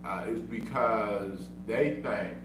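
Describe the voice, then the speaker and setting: A man's voice: lowish voice, slow pace. A man who stutters, streaming a casual monologue and repeating himself insistently. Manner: repetitive, insistent, self-defending. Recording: echoey room, far from mic, humming noise.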